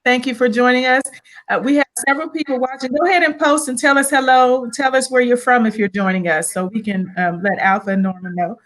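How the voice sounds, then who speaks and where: A woman's voice: fairly high pitch, steady tone, fairly quick, fairly bright tone, poor audio. A woman hosting a live online interview, upbeat, greeting viewers and guiding the conversation. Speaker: host.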